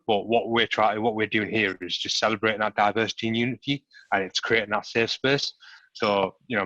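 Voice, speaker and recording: A quick-talking man with a Middlesbrough accent, his lowish voice bright and crisp but held to a monotone. He hosts a chat over a video call, earnest and full of filler phrases. The sound is dry and dull, like lo-fi call audio. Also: dry recording. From Middlesbrough, England. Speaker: host.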